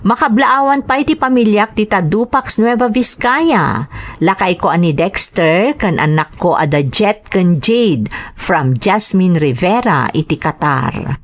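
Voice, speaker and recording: A woman's voice: mid-range voice, expressive, measured pace. A woman podcast host doing scripted narration, reading out greetings and letters.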